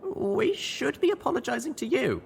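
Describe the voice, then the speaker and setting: Guy doing a sincere young girl pony, pitched way up, apologetic and halting, audiobook, some noise. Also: very animated, gravelly, heavily acted. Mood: earnest, apologetic. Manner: earnest, halting. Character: earnest young mare heroine, apologetic, righteous.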